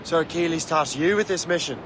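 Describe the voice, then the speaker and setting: A man voicing a teasing young Assassin sailor for a video game. The voice is a little high and the talk loose and cheeky, over clear outdoor ambience. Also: brisk, lightly acted. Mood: casual, questioning. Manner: casual, cheeky. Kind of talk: video game dialogue acting. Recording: noisy outdoor ambience behind.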